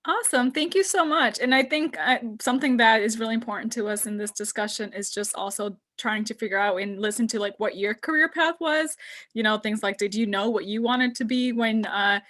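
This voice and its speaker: A young woman on a video call from home. She co-hosts a talk with a slightly high voice and asks friendly questions with small restarts. The sound is plain and clear. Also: fairly quick, fairly bright tone. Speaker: co-moderator. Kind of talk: hosting an online interview. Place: room at home.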